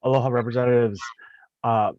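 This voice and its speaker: A man's voice: mid-range voice, steady tone, a little fast, no room sound. A man giving short, upbeat testimony for an advocacy group at a hearing. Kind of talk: brief supportive testimony. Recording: dry, dull lo-fi audio.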